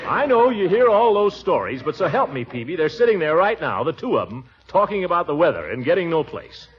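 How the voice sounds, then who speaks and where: Pompous bachelor uncle, swoopy bluster, old radio hiss. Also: male voice, very animated, fairly quick, highs cut off. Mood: grumbling. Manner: blustery, exasperated, swooping pitch. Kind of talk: 1940s radio acting.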